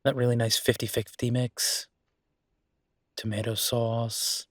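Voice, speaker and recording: A man playing an affectionate, playfully grumbling young werewolf chef in an intimate, casual boyfriend roleplay audio, chatty and halting. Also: mid-range voice, level tone, no room sound, studio quality. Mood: fond, enthusiastic.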